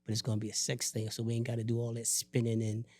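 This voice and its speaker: A man's voice: medium pitch, fast. Joking man ranting on a podcast. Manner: animated, joking, rants and teases. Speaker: podcast co-host. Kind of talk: casual chat.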